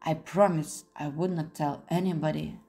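A woman's voice: sits low, fairly even intonation, moderate pace, warm tone. A woman voicing a strange, eager foreign man with elaborately courteous, exclamatory manners in an audiobook. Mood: courteous, curious.

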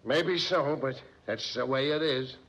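An actor playing a blunt, slangy, suspicious police sergeant in 1940s radio drama style. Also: male voice, mid-range voice, a little slow, a bit bright. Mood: stubborn. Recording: muffled vintage 1940s radio recording.

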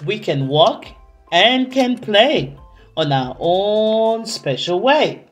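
A jolly, commanding old Santa, as a woman storyteller plays him, talks very slowly with booming, measured phrases. The storybook delivery is expressive, with music behind. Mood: warm, relieved.

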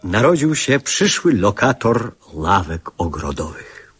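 A nostalgic older man narrating a radio drama in a low-pitched voice. His delivery is lyrical and widely inflected, slow and reflective, with music around it. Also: very animated, fairly bright tone, breathy edge, exaggerated voice.

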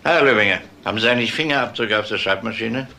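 Bright-voiced, dry police detective. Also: male voice, fairly low pitch, quick pace, creaky, a little hiss or hum. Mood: businesslike. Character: dry, methodical middle-aged police detective. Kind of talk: German crime radio drama acting.

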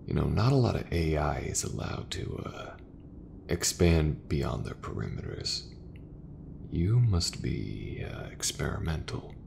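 A man playing an easygoing, folksy sci-fi mechanic in a soft, breathy, reassuring ASMR roleplay voice. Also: extremely low pitch, big pitch swings, slight background noise, full character voice. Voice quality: gravelly, breathy, half-whispered. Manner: slow, unhurried, reassuring, colloquial. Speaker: voice actor.

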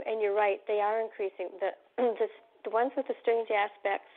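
A woman with a scratchy voice, chatting casually as a call-in guest over the telephone. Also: medium pitch. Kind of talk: casual chat. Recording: phone-like narrow band line.

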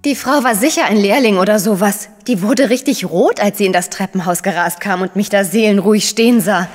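An actress playing an anxious but cheeky female journalist in a crime audio drama, expressive and agitated. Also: medium pitch, brisk, soft background music, natural voice. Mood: amused. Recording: reverberant room sound.

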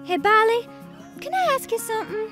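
A woman plays an earnest, sweet snow woman with a gentle lilt. The voice is very high and lively, over clear background music. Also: brisk. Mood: uneasy. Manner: earnest, hesitant.

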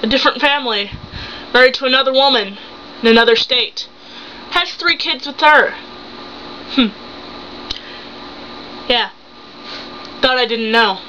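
A seventeen-year-old young woman acting a betrayed, hurt and angry wife and mother in an improvised dramatic monologue, accusing with halting, emphatic phrases. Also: sits high, pushed and bright. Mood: bitter, accusing. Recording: muffled home recording with hum.

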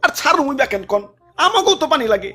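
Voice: sits high, big pitch swings, fast, bright, forceful tone, heavily acted. A performer voicing a smug, greedy cartoon dam keeper who talks in an exaggerated, self-righteous comic way. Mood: smug, fretful.

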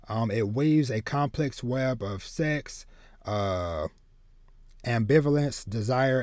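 A man in his thirties chatting casually as a podcast host, haltingly, often repeating words as he goes. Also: medium pitch, a little mellow, no echo, clean studio sound. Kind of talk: casual chat about manga and anime. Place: small home room with bookshelves.

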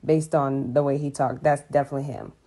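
A young woman giving a casual, teasing comedic reaction into a phone, halting for emphasis. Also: extremely low pitch, level tone, dark tone. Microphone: phone at arm's length.

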